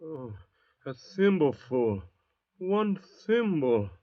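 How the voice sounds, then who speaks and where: Surly, slow-spoken convict. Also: male voice, fairly high pitch, sing-song, no room sound, full character voice. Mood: bitter, sarcastic. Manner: belligerent, sneering, challenging. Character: surly, hot-tempered, suspicious younger convict. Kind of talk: 1940s radio drama acting. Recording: dull restored 1940s radio recording.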